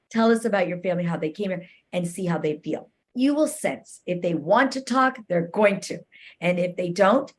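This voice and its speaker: A woman's voice: mid-range voice, a little mellow. A woman giving advice as an interview guest, explaining conversationally and often checking in with the listener. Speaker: interview guest, cultural competency expert.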